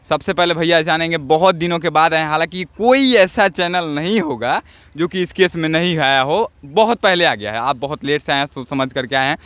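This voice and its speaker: A man's voice: on the high side, brisk, a bit bright, no echo. A man conducting a news interview on location outdoors, in the role of channel reporter. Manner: interjects short agreements while listening. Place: outdoors in a town, near a road.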